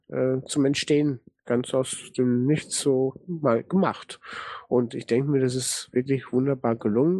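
A man's voice: mid-range voice, mellow, dry recording. A man hosting a live online radio show in a casual, rambling way, thanking his guests and listeners. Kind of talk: casual live broadcast chat.

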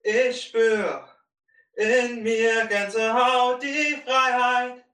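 A man's voice: very high voice, steady tone, very slow, sharp tone. A man, a singer, introducing and singing a parody song at the close of a gathering. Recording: roomy, from far off.

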